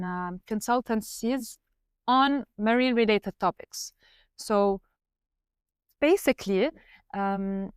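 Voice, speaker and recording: Woman from lebanon in her 30s, smooth voice, explains things slowly with lots of ums, dry room. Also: mid-range voice, no echo. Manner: explanatory, measured, with fillers. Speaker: guest researcher. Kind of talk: podcast interview.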